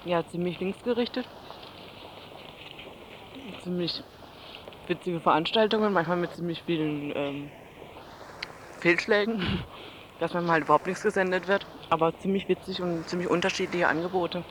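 A man with a high-pitched voice chats casually as an interviewed passer-by. He hesitates and thinks aloud. There is slight street noise behind him. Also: fairly even intonation, normal speed, pauses often. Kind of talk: casual spontaneous street chat. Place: outdoors on a busy street.

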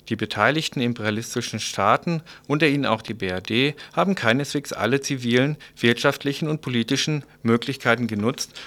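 A male radio presenter with a medium-pitched voice. He reads a written news report in long, formal sentences and leads into the items that follow. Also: a bit bright. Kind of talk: scripted news narration.

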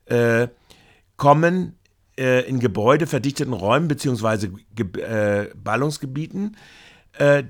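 A man reading scripted, critical news commentary in a formal, halting way with long sentences. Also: medium pitch, a bit bright. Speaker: reporter and commentator.

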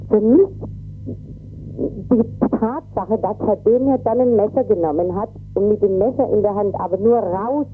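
A shaken woman giving a halting, emphatic eyewitness account over the telephone. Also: mid-range voice. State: shaken, in shock. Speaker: eyewitness interviewed by phone. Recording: tinny phone line, faint music.

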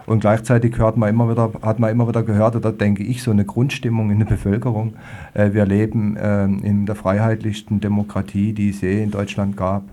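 A man's voice: lowish voice, level tone, fairly quick, mellow. A man hosting a radio discussion, searching tentatively for his words. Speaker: radio host.

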